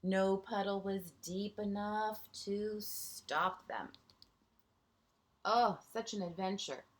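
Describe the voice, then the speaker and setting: A woman's voice: mid-range voice, takes it slowly. A woman teacher reading a picture book aloud to young children, expressively, with asides and questions to her listeners.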